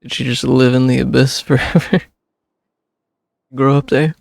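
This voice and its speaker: A man's voice: mid-range voice, steady tone, moderate pace, creaky, darkish tone. A man streaming a game, giving casual, musing reaction commentary in short puzzled asides.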